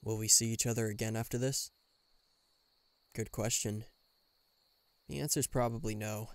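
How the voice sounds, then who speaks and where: A man's voice: lowish voice, fairly even intonation, normal speed, clear, resonant tone, dry recording, lightly acted. A man playing a guarded government bodyguard in an intimate listener roleplay, dryly teasing and reluctantly tender. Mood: teasing, reserved. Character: gruff, guarded male government bodyguard, secretly fond.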